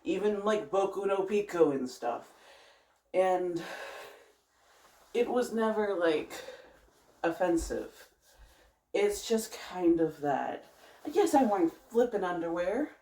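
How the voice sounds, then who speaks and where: A man's voice: high-pitched, animated, slow, pauses often, darkish tone. A man chatting casually to his vlog in a rambling, blunt way that slips into swearing. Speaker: vlogger. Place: bedroom at home. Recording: noisy home recording with clicks.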